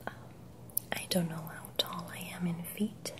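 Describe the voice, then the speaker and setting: ASMR creator: Dutch woman, soft slow whisper, lowish voice, binaural close-up. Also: level tone. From Netherlands. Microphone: binaural microphone.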